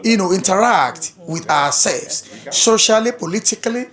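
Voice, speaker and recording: A man from Africa addressing a community dialogue, halting and repeating words, laughing between points. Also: high-pitched, animated, fairly bright tone. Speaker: community speaker.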